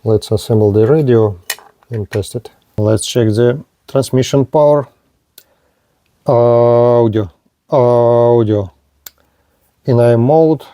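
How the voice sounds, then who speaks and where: A man presenting a product demonstration in short, halting phrases, with a low-key, matter-of-fact manner. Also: dark tone, no echo. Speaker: product reviewer.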